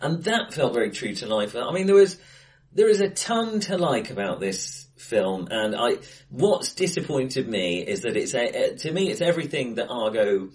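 A man's voice: mid-range voice, sing-song, normal speed. A man discussing films casually as a podcast co-host, often hesitating and correcting himself as he thinks aloud. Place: indoors, face to face in a reverberant room. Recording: echoey, acoustically bright room.

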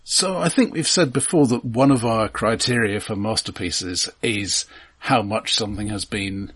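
A man with a rough-edged, fairly low voice co-hosts a casual film podcast. He sounds informed and precise, adding details and corrections in a conversational way. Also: moderate pace, a bit bright. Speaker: podcast co-host.

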